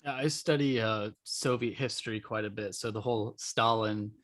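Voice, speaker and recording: A man's voice: on the low side, moderate pace, fairly bright tone. A man co-hosting a podcast, talking casually in a discussion. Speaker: podcast co-host.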